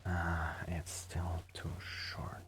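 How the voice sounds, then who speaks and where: Deep, slow, soft-spoken ASMR man. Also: monotone. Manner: hesitant, rambling, gentle, often drifting into whispers. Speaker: ASMR performer playing a friendly host. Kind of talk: soft-spoken ASMR roleplay, casual chat to the listener.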